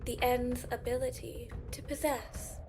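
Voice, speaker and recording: Actress as female keeper of old lore, fantasy audio drama: high register, grave storytelling, scored. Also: little pitch movement, a little slow, darkish tone. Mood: grave, solemn. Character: solemn ancient female lore-keeper of an island people. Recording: music behind the voice.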